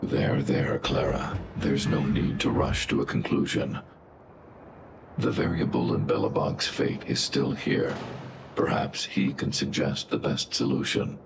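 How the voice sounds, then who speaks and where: An actor playing a stern, logical giant guardian robot in a video game, speaking in formal, measured, clinical phrases with robotic processing. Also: male voice, a bass voice, vocal fry, heavily acted. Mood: calmly reassuring. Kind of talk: video game character dialogue. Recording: loud game music underneath. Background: loud music.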